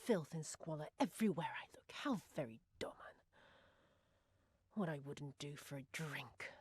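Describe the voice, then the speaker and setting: A woman's voice: low-pitched, animated, normal speed, darkish tone, slightly rough, no room sound. A woman playing a cruel, haughty imperial viceroy in a video game cutscene, drawling with mocking sweetness. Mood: weary disdain.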